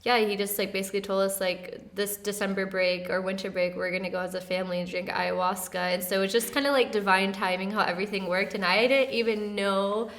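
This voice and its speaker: Even-toned young woman, relaxed chat. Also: medium pitch, brisk, few pauses. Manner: soft-spoken, relaxed, often laughing lightly, many "like" fillers. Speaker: interview guest. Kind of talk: casual interview conversation.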